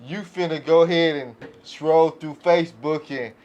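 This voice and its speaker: A man chats as a podcast panelist in a somewhat high-pitched voice. His delivery is casual and colloquial, and he states his opinions plainly. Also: normal speed, a little mellow, dull audio.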